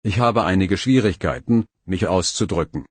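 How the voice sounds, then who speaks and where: A man with a somewhat low voice and a husky, breathy edge reads practice phrases one at a time. He speaks slowly and evenly, pausing after each phrase. The sound is dry and close, with no room behind it. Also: dry recording. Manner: slow, even, careful phrase-by-phrase reading. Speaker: phrase reader. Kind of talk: reading phrases for learners.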